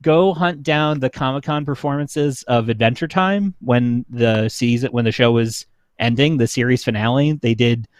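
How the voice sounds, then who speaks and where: A man's voice: medium pitch, moderate pace, no room sound. Enthusiastic young man in dry, close sound. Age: young adult. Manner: enthusiastic fan talk, frequent "like". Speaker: show panelist. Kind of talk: casual livestream chat.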